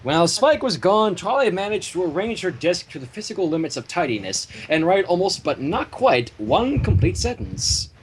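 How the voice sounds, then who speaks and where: A man reads a fan story aloud in an animated, swinging delivery, dropping in casual asides. The recording carries faint noise and small clicks. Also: high-pitched, normal speed, few pauses, low-quality recording. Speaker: fan fiction reader and streamer.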